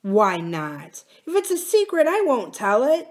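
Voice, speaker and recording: A woman's voice: on the high side, huge pitch swings, unhurried, pitched above the performer's own voice. Woman playing earnest, tender young farmer man. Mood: concerned, eager. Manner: eager, tender, reassuring. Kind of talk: audiobook character dialogue.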